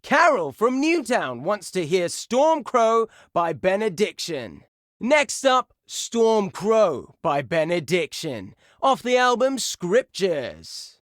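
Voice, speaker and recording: A brash game-radio metal DJ with a high-pitched, very animated voice. He announces tracks with punchy showmanship and takes his time over the words, in a dry, close studio sound. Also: takes it slowly, no echo, full character voice. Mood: upbeat. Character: brash, excitable male heavy metal radio DJ. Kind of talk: radio DJ announcing songs and listener requests.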